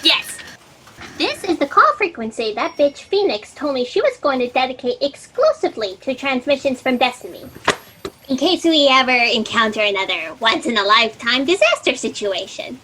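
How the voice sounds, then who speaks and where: A woman's voice: sits high, sing-song, moderate pace, bright, forceful tone, full character voice. A woman playing a flustered, snippy fact checker in a sci-fi satire, with a fussy manner. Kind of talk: sci-fi satire audio drama. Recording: slightly noisy video-call audio.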